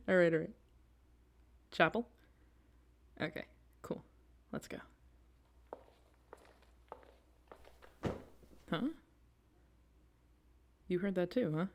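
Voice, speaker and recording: A woman acting an excitable, reassuring young girlfriend on a date in an audio roleplay, talking casually to the listener with hesitant asides and eager repetition. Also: fairly low pitch, takes it slowly, creaky, long pauses. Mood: relaxed, amused.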